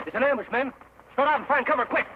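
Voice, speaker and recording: High-pitched, urgent western lawman. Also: fast, dull audio. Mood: urgent alarm. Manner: urgent, dramatic. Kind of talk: old-time radio western acting. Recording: hissy old radio broadcast recording.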